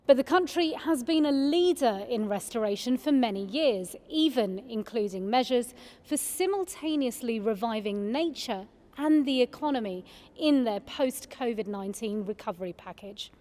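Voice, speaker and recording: Woman, fairly high pitch, formal scripted event presenter, long hall reverb. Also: animated, moderate pace, dark tone.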